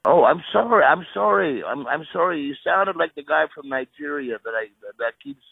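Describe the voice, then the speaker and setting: A Canadian restaurant owner taking a call, speaking bluntly and repeating himself when agitated. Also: male voice, fairly high pitch, expressive, moderate pace, creaky, slightly rough, no room sound. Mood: wary. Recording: muffled phone line.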